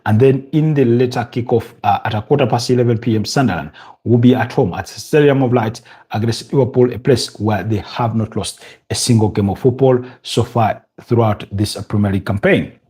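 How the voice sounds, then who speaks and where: Ugandan man hosting a football stream, husky and mellow, rambling with lots of fillers and restarts. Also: medium pitch. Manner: halting, many fillers and restarts, chats with viewers. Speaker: football podcast host. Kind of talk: casual live-stream football preview.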